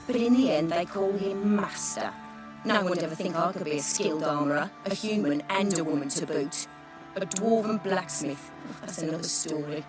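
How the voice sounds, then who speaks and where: A woman's voice: lowish voice, normal speed, natural voice. An actress playing a proud, resentful craftswoman in a fantasy game, speaking bluntly and assertively. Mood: bitter. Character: proud, blunt, resentful woman armourer, determined to prove herself. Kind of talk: dramatic game dialogue. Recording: game dialogue with music behind.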